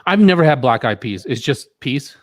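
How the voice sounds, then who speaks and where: A man's voice: mid-range voice, animated, moderate pace, no room sound. Laid-back man, dry close sound. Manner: laid-back, easygoing, asks questions. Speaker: co-host of a live stream. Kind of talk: casual chat.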